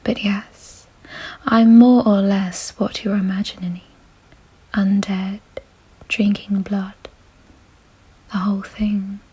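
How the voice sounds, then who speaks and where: A woman voicing a gentle, refined, doting vampire mistress in a soothing, softly possessive ASMR roleplay whisper. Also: mid-range voice, flat delivery, takes it slowly, long pauses, low-quality recording. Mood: calm explaining. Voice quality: breathy, husky whisper.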